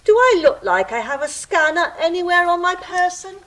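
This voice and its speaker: Woman playing a high-pitched, swooping, officious gatekeeper creature. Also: big pitch swings, normal speed, a bit bright, exaggerated voice. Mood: indignant, fussy. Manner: pompous, pedantic, theatrical. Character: fussy, officious ticket-eating gatekeeper creature. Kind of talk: stage-style audio drama acting.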